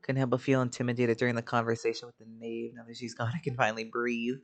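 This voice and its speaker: A woman's voice: a bass voice, little pitch movement, quick pace, faint music behind. A woman streaming a game, giving casual, playful reaction commentary with informal murmured asides. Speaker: gaming streamer.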